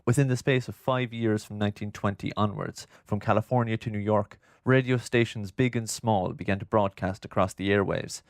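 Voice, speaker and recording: A man narrating a scripted documentary in a formal, measured, literary way, close on the microphone. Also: fairly low pitch, steady tone, no room sound. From Ireland. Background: music.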